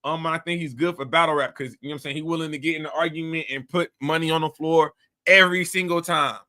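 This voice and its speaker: A man's voice: on the high side, steady tone, fast talker, pushed and bright. A man hosting a casual commentary show, talking bluntly and animatedly in a rambling way. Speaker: host.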